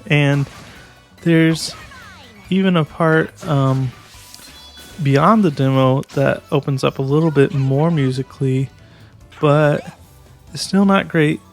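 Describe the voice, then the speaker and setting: A man's voice: on the high side, slow, pauses often, soft background music. A young man giving a casual video game review, hesitant and restarting his sentences often. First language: English.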